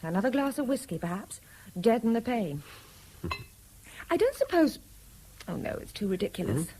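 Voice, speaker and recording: An actress playing a sharp-minded, protective, indignant woman with a British accent, insistent and probing, in a radio drama. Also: on the high side, sing-song, moderate pace, a little hiss or hum. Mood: scornful.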